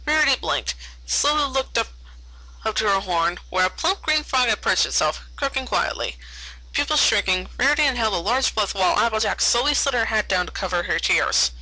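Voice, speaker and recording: A woman's voice: a little high, expressive, fairly quick, sharp tone, low-quality recording. A woman narrating fan fiction aloud expressively, often stumbling over and repeating words.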